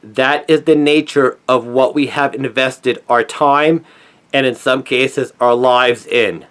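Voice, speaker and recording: A middle-aged man delivering a scripted opinion monologue in an earnest, emphatic manner with deliberate phrasing. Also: medium pitch, steady tone, a bit bright, clean tone. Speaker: conspiracy commentator, former newspaper journalist.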